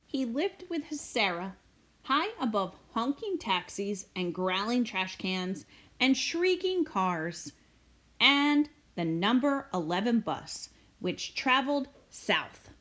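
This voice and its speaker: A woman reading a children's storybook aloud as a read-aloud host, expressive and deliberate, with dramatic pauses and emphasis. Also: a little high. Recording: roomy echo, distant microphone.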